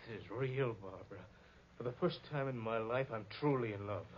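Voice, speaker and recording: An actor playing a haughty, hot-headed sculptor with an intense, melodramatic manner in 1940s radio style. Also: male voice, mid-range voice, a little fast, a little hiss or hum, close to an everyday voice. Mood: ardent, intense. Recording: dull old radio recording.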